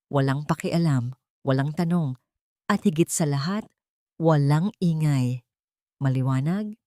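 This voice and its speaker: A woman's voice: low voice, a little slow, warm tone, dry recording, studio quality. A woman voicing a cold, cruel elderly mistress of a mansion in an audiobook, stern and commanding.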